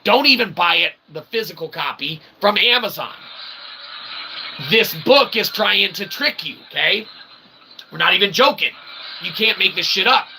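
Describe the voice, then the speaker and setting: Forceful, gravelly man on a rant. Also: high-pitched, lively intonation, moderate pace, slight background noise. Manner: emphatic, indignant, sweary; wordy mock-formal phrasing with frequent "okay?" tags. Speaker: independent author and channel host. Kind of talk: casual ranting monologue to viewers.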